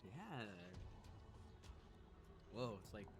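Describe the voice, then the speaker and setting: A man's voice: medium pitch, very animated, extremely slow. A man co-hosting a visual novel stream in casual chat. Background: music.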